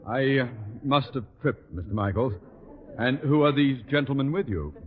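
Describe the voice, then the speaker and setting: A man playing a flustered, blustering middle-aged high school principal in 1940s radio sitcom style, pompous and theatrical. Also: normal speed, narrow, tinny audio, lightly acted. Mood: flustered, eager. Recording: old crackly radio broadcast, echoey, audience.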